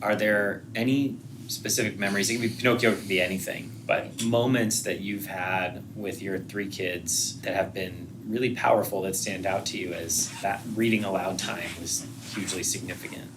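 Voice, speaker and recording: A man's voice: deep voice, steady tone, moderate pace. An American man in his thirties hosting a podcast in a casual, conversational interview style. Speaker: podcast host. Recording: clearly noisy background.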